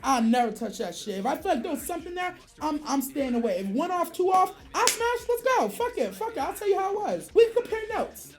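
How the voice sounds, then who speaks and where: An American woman telling a story casually on a livestream, animated, profane and slangy, acting out the dialogue she quotes. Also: very high-pitched, brisk, few pauses. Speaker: streamer. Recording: music behind the voice. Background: music.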